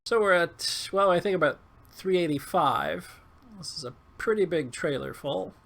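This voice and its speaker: A man with a coarse, hoarse, failing voice giving casual, halting commentary over gameplay. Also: on the high side, a bit bright. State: hoarse, losing his voice. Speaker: gaming video host.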